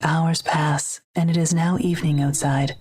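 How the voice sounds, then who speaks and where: A woman narrates a drama from a script, describing action in the present tense. Her pitch is somewhat low and moves little, and there is slight noise in the recording. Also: level tone, normal speed, darkish tone.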